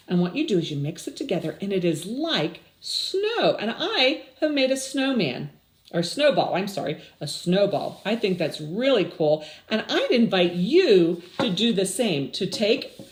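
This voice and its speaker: An older woman hosting story time for children, reading and chatting expressively with questions for young listeners. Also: mid-range voice. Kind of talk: picture-book read-aloud and chatting to children. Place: small indoor room with blinds.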